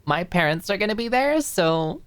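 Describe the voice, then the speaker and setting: A woman voicing a bubbly, eager, affectionate young woman in an audiobook drama, reassuring and tripping over her words in excitement. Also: medium pitch, moderate pace, smooth, voice pushed up, lightly acted. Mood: excited, bashful.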